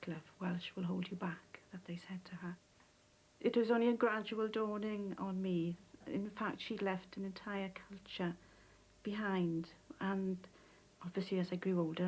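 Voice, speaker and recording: A woman in an interview, talking thoughtfully and softly about family memories, her voice sometimes dropping low. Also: fairly even intonation, moderate pace, slightly rough, low-quality recording. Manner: soft, thoughtful, sometimes drops near a whisper. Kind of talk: reflective interview recollection.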